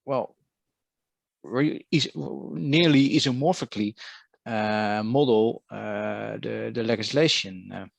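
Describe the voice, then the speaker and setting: A man explains technical material haltingly. His low voice has a creaky edge, and he often repeats words as he searches for the next one. The sound is close and dry. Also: dry recording. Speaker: guest speaker. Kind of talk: technical discussion.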